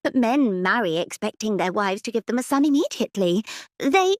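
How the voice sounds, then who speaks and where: A woman's voice: fairly high pitch, lively intonation, normal speed, no room sound, studio quality, voice pushed up, natural voice. A woman voicing a young, gossipy lady's maid, earnest and incredulous, in an audiobook drama. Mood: worried, insistent. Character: anxious young lady's maid, naive busybody, deferential. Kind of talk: audiobook character acting.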